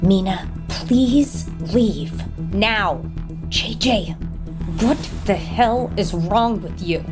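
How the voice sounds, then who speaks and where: A woman's voice: medium pitch, expressive. Commanding, exasperated corporate woman over music. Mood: indignant. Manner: brisk, commanding, indignant. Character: sharp, controlling female board member, easily exasperated. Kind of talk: audio soap opera acting.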